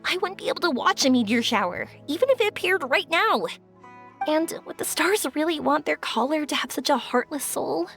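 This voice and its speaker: A woman voicing a kind, earnest young woman in a video game, speaking gently. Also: high voice, animated, brisk. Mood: doubtful. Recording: music behind the voice.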